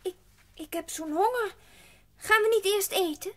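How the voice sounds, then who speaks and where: A woman's voice: extremely high pitch, a little slow, a bit bright. An actress playing an innocent, polite little girl in an audio drama, childlike, trusting and hesitant. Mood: shy. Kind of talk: Dutch audio drama acting.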